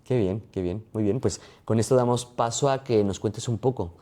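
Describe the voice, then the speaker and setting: Mellow-voiced male podcast host. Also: on the low side. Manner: quick rapid-fire questions. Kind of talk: interview.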